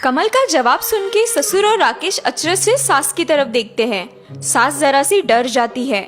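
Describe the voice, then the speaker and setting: A woman's voice: high-pitched, animated, a little slow, a bit bright, faint music behind. A woman reading scripted narration for a children's moral story.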